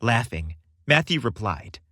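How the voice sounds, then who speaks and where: Animated male storyteller. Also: mid-range voice, measured pace, no echo, studio quality. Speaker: podcast story narrator. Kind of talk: dramatic scripted storybook narration.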